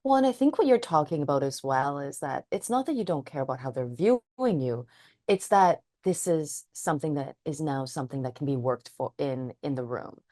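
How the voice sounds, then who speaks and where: A woman with a notably low voice talks earnestly about her work as a trauma therapist. She sounds thoughtful and measured. The recording is dry and close, with no room sound. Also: expressive, warm tone, no room sound. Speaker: trauma psychotherapist and podcast co-host. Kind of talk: casual chat.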